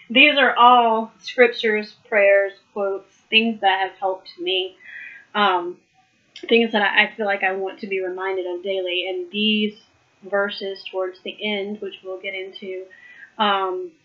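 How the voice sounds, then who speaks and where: Woman, live study host, hoarse, chatty, muffled stream audio. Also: medium pitch, fairly even intonation, slow pace. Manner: chatty, laughs often, warm southern "y'all" address. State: losing her voice. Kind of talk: casual live Bible study talk.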